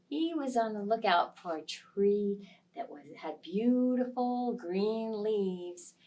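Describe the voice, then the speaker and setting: A woman telling a story aloud to young children, with expressive, dramatic delivery and emphasis on key words. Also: mid-range voice, recorded from a distance, poor audio.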